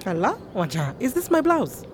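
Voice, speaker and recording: A gracious middle-aged Kenyan wife and mother, played by an actress in audio fiction. Her intonation swings freely, and clear ambient noise surrounds her. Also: mid-range voice, huge pitch swings, normal speed. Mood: exasperated.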